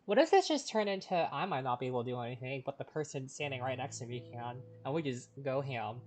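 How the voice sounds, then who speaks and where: A woman's voice: rumbling low, animated, quick pace, darkish tone. A woman streaming a game, commenting casually and playfully as she reacts. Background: game music.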